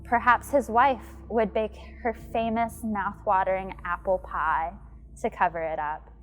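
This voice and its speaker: A woman narrates a scripted horror story. Her voice sits at a medium pitch, with faint music playing underneath. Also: fairly even intonation, unhurried, a bit bright, poor audio.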